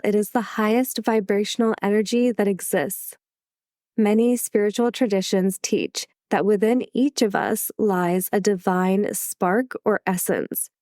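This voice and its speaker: A woman hosting a solo podcast who is a little sick, reading her script in a calm, measured way. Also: mid-range voice, no echo, studio quality. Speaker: podcast host.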